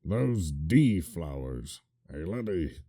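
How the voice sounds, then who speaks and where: Man playing a slow, dark-voiced folksy companion. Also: low voice, sing-song, heavily acted. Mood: teasing. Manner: hesitant, drawn-out, folksy. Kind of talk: audio drama acting.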